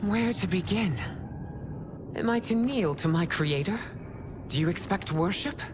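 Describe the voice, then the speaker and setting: An actress playing a proud, suspicious empress in a video game, with a formal, imperious delivery. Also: female voice, medium pitch, expressive, measured pace, background noise, natural voice. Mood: incredulous. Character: proud, suspicious regal empress, stern and commanding. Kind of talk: dramatic video game cutscene acting.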